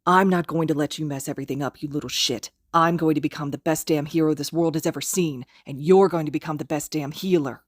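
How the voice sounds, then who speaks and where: A woman's voice: low-pitched, steady tone, quick pace, few pauses, dry recording, clean studio sound. A woman reading a fanfic aloud, voicing a furious, domineering teenage boy who snarls and curses. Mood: low menace. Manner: snarling, cursing, shouting.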